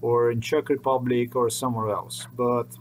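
A man's voice: mid-range voice, little pitch movement, moderate pace, a little hiss or hum. A middle-aged man, rambling earnestly and repeating himself as he talks to his webcam in a small room. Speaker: vlogger. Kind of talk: unscripted monologue to camera. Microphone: webcam at desk.

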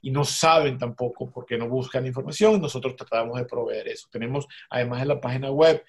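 A man's voice: medium pitch, steady tone, brisk, creaky. A man speaks on a video call in a mid-range voice. He works as a journalist and often restarts or repeats words as he explains his project. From Venezuela. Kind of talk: casual self-introduction.

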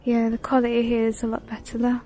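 A woman's voice: fairly high pitch, monotone, fast, warm tone, rough recording. A young woman chatting casually as she browses a decor store, reading out prices, filmed on a handheld phone. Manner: chatty, reads out prices, sometimes drops to a whisper. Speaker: vlogger. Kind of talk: casual shop-with-me commentary. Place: inside a large home decor store. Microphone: handheld phone camera.

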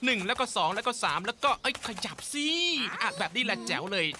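A woman voicing a boastful male showman animal, the proud father of a duckling, in a cartoon dub, talking loud and theatrical. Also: a little high, expressive, fast, background noise, natural voice. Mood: showy.

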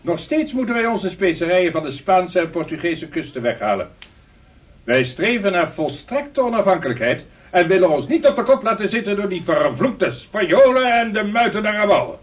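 A formal, emphatic Dutch gentleman orating in a radio play. His voice is fairly high and animated, picked up a little far off on a dull recording. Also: normal speed. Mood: defiant, indignant. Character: stately, defiant Dutch gentleman presiding over a meeting. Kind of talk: radio drama acting, oratory.

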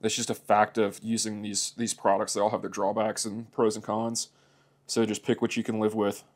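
A man's voice: lowish voice, level tone, moderate pace. A man in a workshop explaining a plastic welding repair in an easygoing, plainspoken way as he works. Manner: easygoing, plainspoken, talks while working. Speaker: motorcycle repair demonstrator. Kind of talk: hands-on how-to narration. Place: indoor workshop.

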